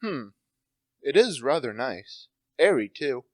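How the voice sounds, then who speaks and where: Teen guy doing confident posh-mannered gent in a radio play, mid pitch, brisk, no room sound. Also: big pitch swings, dry recording, studio quality. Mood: genial, considering. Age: teenager. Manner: breezy, assured, clipped questions. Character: brisk, confident, well-educated gentleman flat-hunter. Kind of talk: amateur radio play acting.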